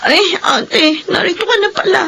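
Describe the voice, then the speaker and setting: A woman's voice: very high-pitched, normal speed, vocal fry, pushed and bright, slight background noise. A woman acting in a classic radio drama with a sulky, whiny delivery.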